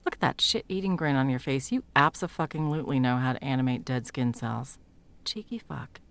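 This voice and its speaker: A woman playing a sardonic, foul-mouthed sound mage in an intimate fantasy audio roleplay, talking sarcastically and emphatically with a creaky edge. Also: a bass voice, brisk. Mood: exasperated, fond. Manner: sarcastic, emphatic, swearing freely. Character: sardonic, foul-mouthed female sound mage, guiltily helping an old friend.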